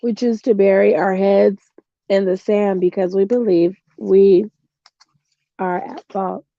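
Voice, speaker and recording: A middle-aged woman reading a self-help book aloud in a slow, earnest way, tired and with her voice going hoarse. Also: mid-range voice, steady tone, dark tone, no room sound. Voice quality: gravelly. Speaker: book reader. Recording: dull lo-fi home recording.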